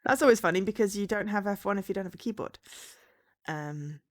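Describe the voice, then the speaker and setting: A woman's voice: medium pitch, fairly quick. A woman streaming a game while she has a cold, chatting casually and hesitantly, with lots of ums and stumbles over names as she reads out a game description. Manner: chatty and hesitant, full of ums, unsure of name pronunciations. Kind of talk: casual livestream chat, reading a game blurb aloud.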